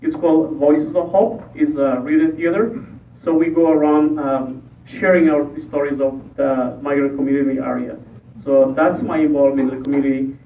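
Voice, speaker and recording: A man's voice: fairly high pitch, fairly even intonation, a little hiss or hum. Man from Ecuador speaking in an echoey room. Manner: halting, many fillers. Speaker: school board candidate. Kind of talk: public forum speech. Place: library meeting room. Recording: echoey room, tinny and distant.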